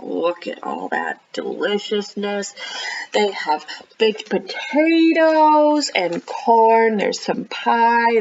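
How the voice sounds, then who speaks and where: A woman children's librarian reading a picture book aloud expressively, with animated, encouraging chatty asides to young listeners. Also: fairly high pitch, lo-fi sound. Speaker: children's librarian hosting storytime.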